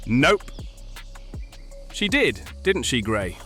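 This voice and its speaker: A man's voice: medium pitch, sing-song, extremely slow, sharp tone, faint music behind, heavily acted. A man playing a roguish, theatrical treasure hunter in a fantasy audio roleplay, cheeky and dramatic in his delivery. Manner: cheeky, dramatic, emotionally swinging.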